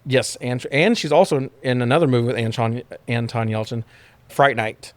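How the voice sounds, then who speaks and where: A man's voice: mid-range voice, moderate pace, no echo. Casual, sweary man chatting, dry close sound. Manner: casual, profane, enthusiastic. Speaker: podcast co-host. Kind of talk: casual movie chat.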